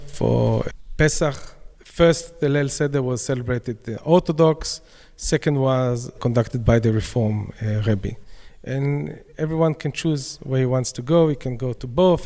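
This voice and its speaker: A man's voice: medium pitch, unhurried, creaky, dark tone. Israeli-accented man in an echoey room. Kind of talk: casual interview answers. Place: community centre dining room.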